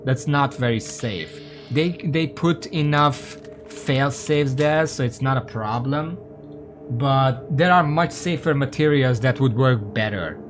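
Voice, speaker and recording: Rambling man streaming a game. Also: mid-range voice. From Hungary. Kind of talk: casual live gaming commentary, chatting with viewers.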